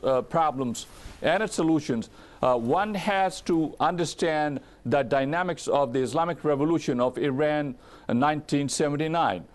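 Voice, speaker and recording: A male political analyst with a slightly high-pitched voice offers commentary in an interview. He speaks deliberately and haltingly, choosing formal, academic wording as he builds his explanation.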